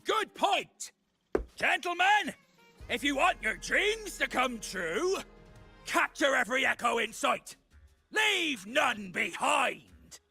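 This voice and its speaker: A loud, blustering male game villain who bosses henchmen around. The voice is very high and piercingly bright, swooping theatrically through each bombastic order, with music playing behind. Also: very animated, takes it slowly, vocal fry, exaggerated voice. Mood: blustering, commanding. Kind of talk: video game villain acting.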